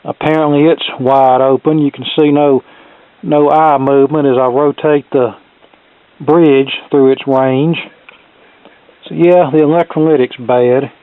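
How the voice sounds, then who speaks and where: A man's voice: mid-range voice, steady tone, a little slow, clear, resonant tone, dry recording. A middle-aged man casually talking through a hands-on repair in a plainspoken, folksy way. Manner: plainspoken, folksy turns of phrase. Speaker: hobbyist electronics repairman presenting a video. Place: workshop. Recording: phone-like narrow, tinny sound, close and dry.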